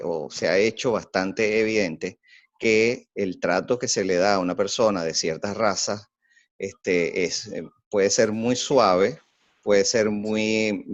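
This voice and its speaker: A man discussing his opinions in conversation, deliberate and halting as he searches for words, on a dry, slightly dull recording. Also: lowish voice, no room sound. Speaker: podcast co-host.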